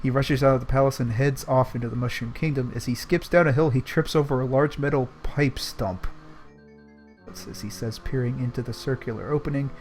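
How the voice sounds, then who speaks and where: A man's voice: mid-range voice, a little fast, a little mellow, faint music behind. A man narrating a storybook aloud on a casual stream, playfully breaking off to react.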